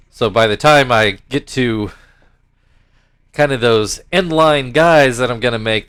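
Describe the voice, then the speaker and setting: A man's voice: fairly low pitch, fairly bright tone, smooth. A young man with a stuffy nose, casually commentating his own baseball video game with rambling asides and play-by-play calls. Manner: rambling and self-correcting, mock play-by-play calls.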